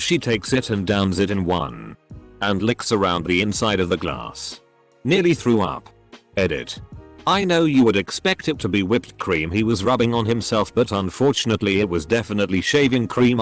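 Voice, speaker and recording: A man reading out online stories as a scripted narration. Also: lowish voice.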